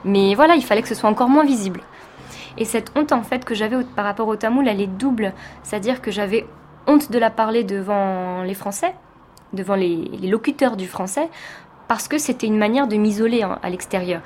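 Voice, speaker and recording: A woman's voice: mid-range voice, fairly quick, darkish tone, a little hiss or hum. A woman from France, a teacher, giving a reflective personal testimony in an interview, speaking thoughtfully with many hesitations.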